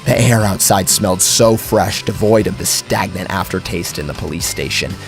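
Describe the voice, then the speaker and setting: A man's voice: sits low, moderate pace, gravelly, music in the background. A man narrating a first-person horror story in a theatrical, suspenseful way.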